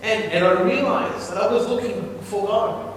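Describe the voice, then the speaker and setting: A man's voice: high-pitched, a little slow, a bit bright. A man interpreting a sermon in short consecutive phrases, restarting and repeating words as he translates. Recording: echoey hall, distant mic, noisy.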